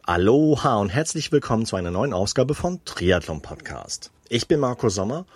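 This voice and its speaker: A man hosting a sports podcast, asking friendly, relaxed questions during an interview recorded inside a parked car. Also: on the low side, normal speed. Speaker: podcast host.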